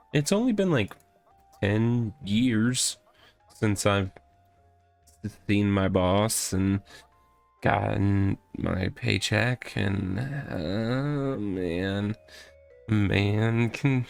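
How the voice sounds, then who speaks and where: A proud, rambling corporate mascot in a cardboard box, acted out by a man reading a visual novel. The delivery is slow and halting, earnest yet boastful, with plenty of gravel in it. Music plays behind the voice. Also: on the low side, a little mellow, heavily acted. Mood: upbeat, rambling. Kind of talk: comedic character read-aloud of a visual novel.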